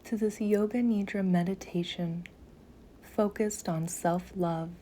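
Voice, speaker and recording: Very slow, mellow woman guiding meditation. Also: medium pitch, fairly even intonation, a little hiss or hum. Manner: soothing, gentle, with long pauses between short phrases. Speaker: yoga nidra meditation guide.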